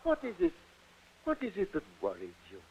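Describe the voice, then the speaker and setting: A man playing a doting, excitable middle-aged father and veteran stage actor in a 1940s radio drama, with florid delivery. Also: sits high, moderate pace, breathy edge, exaggerated voice. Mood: tender, remorseful. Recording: tinny, noisy 1940 radio recording.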